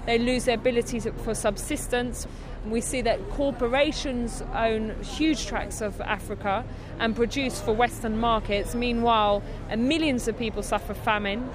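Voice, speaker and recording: A female protester speaks intensely and deliberately at an outdoor protest. Her voice is slightly high. A crowd is clearly heard around her. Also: fairly even intonation. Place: outdoors at a city protest camp. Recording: crowd noise behind.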